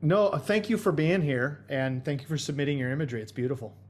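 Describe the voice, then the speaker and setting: A man's voice: fairly high pitch, animated, fast, darkish tone. Easygoing laughing man on voice chat. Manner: easygoing, laughs often, stumbles and restarts. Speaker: co-host of an online photography feedback session. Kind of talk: casual chat. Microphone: voice chat on a computer.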